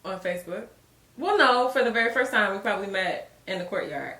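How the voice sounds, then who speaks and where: A woman's voice: mid-range voice, a little fast. Giggly woman host in her thirties. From Virginia, USA. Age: 30s. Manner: giggly, chatty and relaxed. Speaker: lifestyle YouTuber host. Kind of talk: casual couple Q&A chat. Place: home room. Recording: echoey room.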